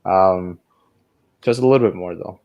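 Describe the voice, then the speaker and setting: A man with a fairly low voice speaks as a podcast co-host. He talks casually and wanders through tangents as he discusses films. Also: moderate pace, vocal fry, highs cut off. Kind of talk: casual film review discussion.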